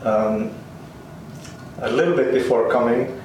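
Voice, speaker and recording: An older man from Finland who grew up speaking Swedish, Finnish and German, recalling his life in an interview with hesitant, halting speech. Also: mid-range voice, a little mellow. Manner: hesitant, many ums and restarts, chuckles. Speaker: interviewee, linguist. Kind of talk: oral history interview. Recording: roomy, distant, faint hiss.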